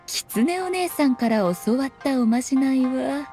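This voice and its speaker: An actress playing a sly, self-assured fox shrine maiden in a Japanese video game, speaking in a lofty, archaic, composed manner. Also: female voice, high voice, expressive, music in the background. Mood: sly, scheming. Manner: archaic, lofty, unhurried speech with teasing composure.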